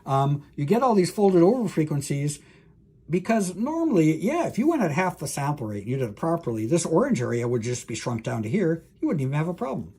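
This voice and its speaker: A man's voice: fairly high pitch, very animated, fairly quick, dark tone, breathy edge. A man lecturing as a professor, explaining technical material informally, thinking aloud with frequent hesitations and self-corrections and speaking directly to the listener.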